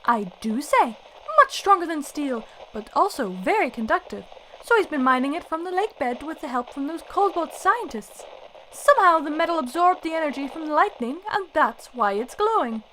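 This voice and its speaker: A woman's voice: high voice, big pitch swings, moderate pace, background noise. A woman playing a chatty, gossip-loving caller to a radio show, breezy and earnest, in a radio drama. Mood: eager, gossipy.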